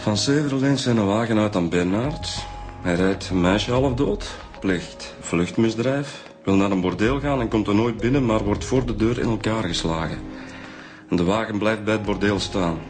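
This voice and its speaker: An actor playing a quick-thinking Flemish police inspector, matter-of-fact and informal, in a radio drama. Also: male voice, fairly low pitch, lightly acted. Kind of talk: naturalistic radio drama acting. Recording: music under the dialogue.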